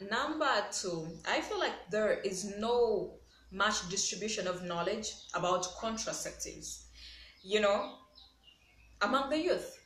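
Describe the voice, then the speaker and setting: A young woman with a Ugandan accent gives her opinions straight to camera in a mid-pitched voice. She leans on key words and repeats them for emphasis. The sound is roomy and echoey, with some faint background noise. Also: expressive, a little slow, fairly bright tone. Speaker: vlogger. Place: small bare-walled room indoors. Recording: echoey room with background hiss.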